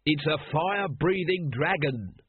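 A man's voice: medium pitch, expressive, normal speed, narrow, tinny audio, natural voice. A man voicing a weary, cynical and outraged city guard captain in an audiobook, with an exasperated manner. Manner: exasperated, shouting and muttering.

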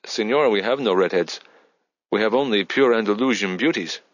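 A man's voice: on the low side, a little fast, dry recording, dull audio, lightly acted. A man voicing a polite, effervescent Spanish woman receptionist, chatty and apologetic but businesslike. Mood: polite, firm.

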